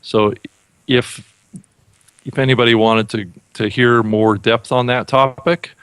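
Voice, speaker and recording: A man hosting a podcast, talking in a casual, halting way and repeating words as he thinks. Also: medium pitch, steady tone, a bit bright, smooth, dry recording, poor audio. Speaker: podcast host. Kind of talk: casual chat.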